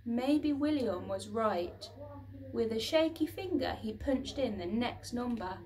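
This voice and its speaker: A woman reading a children's book aloud as expressive, dramatic storybook narration. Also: on the high side, slow, recorded from a distance. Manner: dramatic, with acted sound words.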